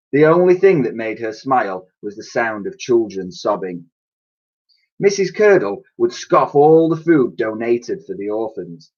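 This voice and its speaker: A man in his thirties reading a children's storybook aloud in an expressive, explanatory way, like a teacher to pupils. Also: medium pitch, husky. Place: small attic room with sloped ceiling, indoors. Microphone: webcam or laptop mic at arm's length. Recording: dull, lo-fi webcam sound.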